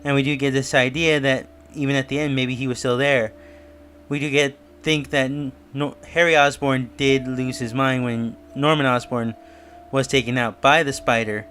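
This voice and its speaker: Male commentator, mid-pitched, for casual speculative review and theory narration over a music bed. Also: steady tone, normal speed, fairly bright tone. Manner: musing, speculative. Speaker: YouTube reviewer.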